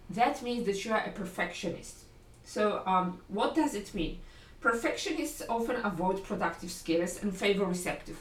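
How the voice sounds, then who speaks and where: A woman with a Polish accent, a language teacher giving a lively, encouraging video lesson and often correcting herself mid-sentence. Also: medium pitch. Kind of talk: video lesson talking to learners. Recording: roomy sound from a distant mic.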